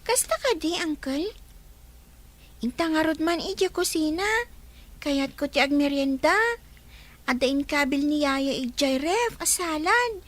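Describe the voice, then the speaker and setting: A nosy, eager little child in an Ilocano radio drama. The voice is extremely high, with an Ilocano accent. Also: female voice, animated, unhurried, pauses often. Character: nosy, eager young child pestering an uncle.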